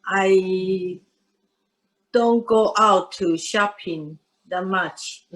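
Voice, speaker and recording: A woman from Taiwan whose first language is Mandarin, speaking English haltingly with fillers as an interview guest. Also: mid-range voice, low-quality recording. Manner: hesitant, halting, with many fillers and soft laughs. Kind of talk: casual chat.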